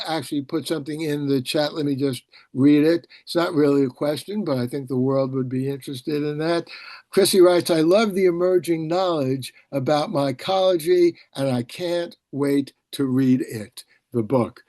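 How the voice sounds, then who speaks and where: Casting: male host of a video-call interview. Medium pitch, dry sound, rambling, conversational phrasing. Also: dark tone, dry recording.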